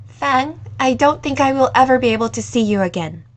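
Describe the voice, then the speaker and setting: A woman's voice: high-pitched, animated, fairly quick, pitched above the performer's own voice. A woman reading aloud, voicing a tearful young woman calling her first love on the phone. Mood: pleading.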